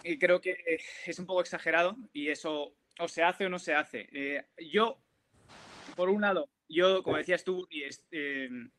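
A man's voice: on the high side, normal speed, fairly bright tone. A young man speaking as a guest player on a video call panel, with many restarts and fillers.

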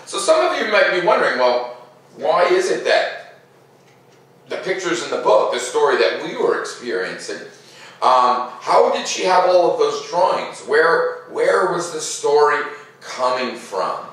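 A middle-aged man reading a storybook aloud to children with casual commentary, expressive and drawing out words as he reads. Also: on the high side, a little slow. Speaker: read-aloud host. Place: living room, seated in armchair by fireplace. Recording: roomy echo, distant microphone.

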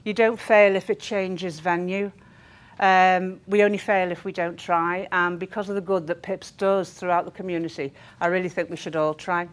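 A woman's voice: on the low side, fairly even intonation, moderate pace. A middle-aged woman speaking earnestly and emphatically in an informal training talk to a group. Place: meeting room, indoors. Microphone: clip-on lapel mic.

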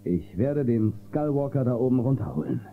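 A man plays a brave marshal of a space western, confident and reassuring. His delivery is lively in pitch. It sounds like a thin, noisy old audio-drama cassette. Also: moderate pace, phone-quality sound, close to an everyday voice. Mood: resolute. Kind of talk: German children's audio drama acting.

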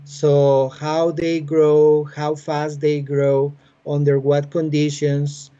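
A man in his forties from Costa Rica with a Spanish accent, a biologist calmly explaining his work in a halting way with many fillers, speaking from home on a video call. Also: fairly high pitch. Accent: Costa Rican Spanish. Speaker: biologist and university teacher. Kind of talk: explanatory interview answers. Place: home room with bookshelves. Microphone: laptop webcam on a video call. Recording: muffled video call audio.

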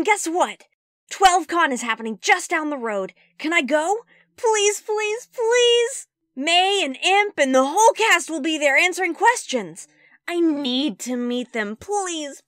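A woman voicing an excitable, pleading young boy in an animated character audition. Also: extremely high pitch, dry recording, clean studio sound, pitched above the performer's own voice, full character voice. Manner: eager, exclamatory, pleading.

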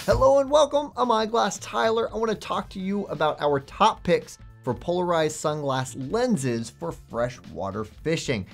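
A man's voice: sits high, sing-song, normal speed, gravelly, few pauses. A young man hosting a product review to camera, chatty and enthusiastic in a salesman way, with faint music behind him. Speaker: host.

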